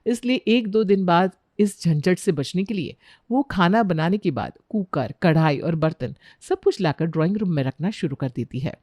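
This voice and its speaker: A woman's voice: fairly low pitch, expressive, normal speed, darkish tone, no room sound, studio quality. A woman with an Indian accent giving scripted narration of a family moral story.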